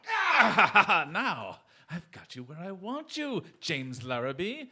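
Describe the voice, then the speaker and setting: A man playing a confident, quick-witted detective in a theatrical radio drama, with a polite, mocking manner. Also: sits high, huge pitch swings, over a noisy crowd, exaggerated voice. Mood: smugly triumphant.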